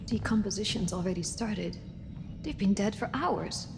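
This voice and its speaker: An actress playing a sober, practical spaceship crew member in a sci-fi horror game, speaking in a clipped, matter-of-fact way. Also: female voice, mid-range voice, normal speed, gravelly, background noise, lightly acted. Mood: grim, clinical. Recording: long cavernous reverb.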